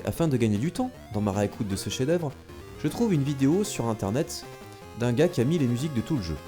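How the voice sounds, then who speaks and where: A man's voice: medium pitch, dark tone. A man narrating a retro gaming video from a script, enthusiastic and speaking directly to viewers with casual asides. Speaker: video creator and host.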